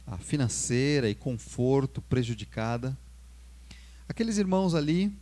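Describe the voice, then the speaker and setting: A young adult Brazilian pastor with a Brazilian Portuguese accent, preaching a sermon, lifting his voice on key words and dropping low at the ends of phrases. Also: darkish tone.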